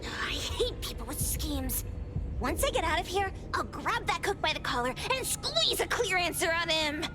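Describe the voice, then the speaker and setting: A woman's voice: very high voice, very animated, normal speed, over music. A voice actress playing a feisty, hot-headed young girl in a video game, brash, blunt and exclamatory. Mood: combative. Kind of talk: video game character acting.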